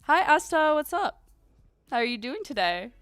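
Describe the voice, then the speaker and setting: A woman's voice: high-pitched, very animated, moderate pace, fairly bright tone, no echo, clean studio sound, faint music behind. A young woman streaming from home, chatting casually with viewers in a bubbly, chatty way. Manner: bubbly and chatty, many "like"s and giggles. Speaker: VTuber streamer.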